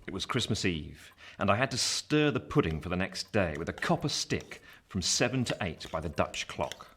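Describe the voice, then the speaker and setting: An actor playing a grown man who narrates his fearful boyhood in a radio play, measured and literary, with an English accent. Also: low voice, big pitch swings. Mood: wry, measured. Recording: noisy home recording.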